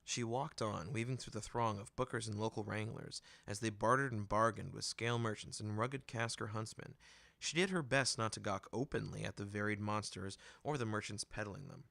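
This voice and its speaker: A man giving an expressive scripted narration of fantasy fiction, as an audiobook reader. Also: fairly low pitch, moderate pace, gravelly, no echo.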